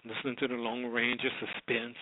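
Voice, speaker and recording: A man's voice: medium pitch, completely flat delivery, fairly quick, no room sound. A middle-aged American man hosting a radio show, rambling conversationally through a casual, unscripted sign-off. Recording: roomless, dry, tinny phone-like line.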